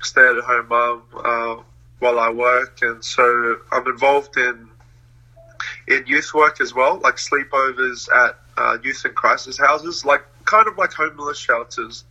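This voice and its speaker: A man's voice: medium pitch, steady tone, unhurried, pushed and bright, rough recording. A young man from New Zealand, talking casually as an interview guest, laughing often between hesitant fillers.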